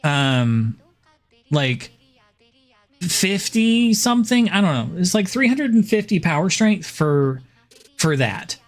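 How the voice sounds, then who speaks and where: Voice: mid-range voice, big pitch swings, slow pace, pauses often. A game streamer chatting casually about a build, laid-back and often laughing. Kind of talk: casual gameplay chat.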